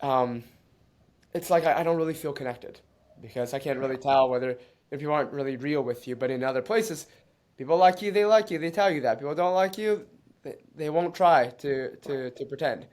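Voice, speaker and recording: Man, interview guest, slightly higher voice, halting and thoughtful, restarts often. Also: a little mellow. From born in China, raised in Canada. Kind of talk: reflective conversational interview.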